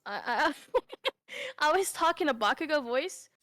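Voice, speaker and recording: A woman's voice: sits high, animated, normal speed, bright, forceful tone. A woman guest in a casual chat, laughing often and rambling in slangy speech. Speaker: guest streamer.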